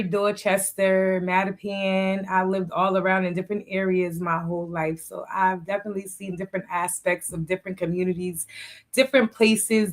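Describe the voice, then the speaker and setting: A woman's voice: mid-range voice, a bit bright. A middle-aged woman from Boston speaking as a guest in a candid interview, plainspoken and restating her points. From Boston, USA. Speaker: talk show guest. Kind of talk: candid personal interview talk.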